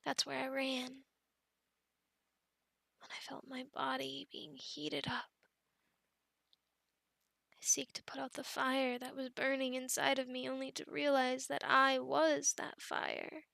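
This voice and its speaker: A woman playing a possessive, lovestruck female werewolf in a whispered ASMR roleplay, slow and coaxing, soothing yet menacing. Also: high-pitched, little pitch movement, sharp tone, no room sound, heavily acted. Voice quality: breathy whisper with creaky edges.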